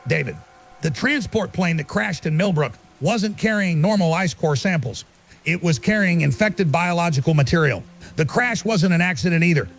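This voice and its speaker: A man's voice: sits high, fairly bright tone, slight background noise. A man acting an earnest, insistent conspiracy researcher being interviewed as a guest in an audio drama.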